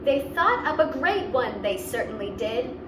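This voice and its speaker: A woman's voice: on the high side, sing-song, moderate pace. A woman reading a children's story aloud expressively, with simple explanatory asides for young listeners. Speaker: story reader and host. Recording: roomy, distant mic, clear background noise.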